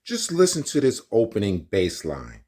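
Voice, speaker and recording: A man's voice: fairly low pitch, huge pitch swings, normal speed, darkish tone. A man delivers video commentary in an insistent, explanatory way, talking straight to his viewers. The sound is roomy and echoey, and he sits some way from the mic. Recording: roomy echo, distant from mic.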